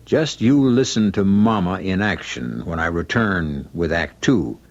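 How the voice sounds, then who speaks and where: Slow, low-voiced male radio host. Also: animated, heavy background noise. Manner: deliberate, portentous. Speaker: radio drama host and narrator. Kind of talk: scripted dramatic narration. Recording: dull lo-fi radio with music.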